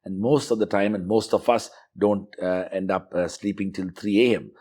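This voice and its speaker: Low-voiced man, thoughtful interview storytelling. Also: fairly even intonation, normal speed, mellow. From South India. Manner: reflective, fluent with frequent "uh" fillers. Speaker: interviewee, university professor. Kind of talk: conversational interview.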